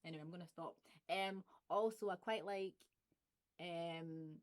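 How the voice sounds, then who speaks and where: Woman YouTuber, Glaswegian accent, lowish voice, casual and chatty with frequent laughter. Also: a little slow. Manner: chatty, laughs often, plays with example phrases. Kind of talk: casual vlog chat.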